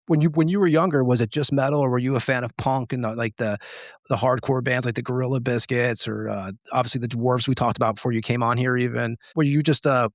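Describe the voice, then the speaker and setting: A man's voice: mid-range voice, dry recording, studio quality. A man hosting a music show, chatting casually as he interviews a guest. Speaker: music podcast host and interviewer.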